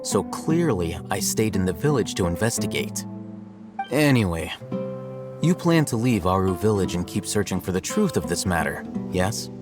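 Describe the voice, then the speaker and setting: A man voicing a calm, aloof young male scholar in a video game, measured and dry. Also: deep voice. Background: music.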